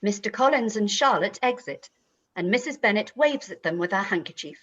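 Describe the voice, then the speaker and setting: A woman narrates a scripted play reading. Her mid-range voice is husky and expressive. The audio is a little muffled. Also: mid-range voice, brisk. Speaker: narrator reading stage directions.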